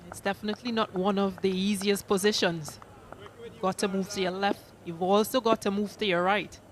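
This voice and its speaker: Woman calling cricket, mid-pitched, no-nonsense, noisy outdoor sound. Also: steady tone. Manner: brisk, matter-of-fact, gives scores. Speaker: cricket commentator. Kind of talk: live cricket commentary. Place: cricket ground commentary position.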